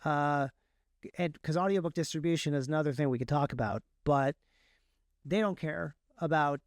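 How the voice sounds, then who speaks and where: A man with a smooth, clear voice on the higher side talks shop as a podcast guest. He explains technical things in a casual, enthusiastic ramble. The sound is dry and close. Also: moderate pace, no echo. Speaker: podcast guest, audiobook narrator.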